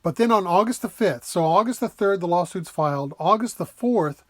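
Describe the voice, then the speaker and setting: A man giving an explanatory narrated lecture in a deliberate, plain-spoken way, repeating points for clarity. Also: high voice, expressive, a bit bright, dry recording, clean studio sound. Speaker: lecturer explaining a legal case.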